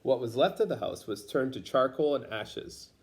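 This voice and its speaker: A man reads a picture book aloud for children in a medium-pitched voice. He narrates the story in simple phrases, as a read-aloud storyteller does for young listeners. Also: expressive, moderate pace. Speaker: storybook reader.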